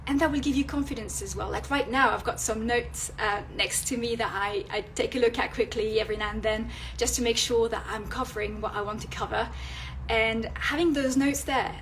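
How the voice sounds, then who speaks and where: A woman with a French accent, French her first language, giving tips on a live video and pausing often with fillers and restarts. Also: on the high side, fairly even intonation, a bit bright, slight background noise, rough recording. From France. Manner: hesitant, many fillers and restarts. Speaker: illustrator and vlogger. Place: indoors at home, near a street.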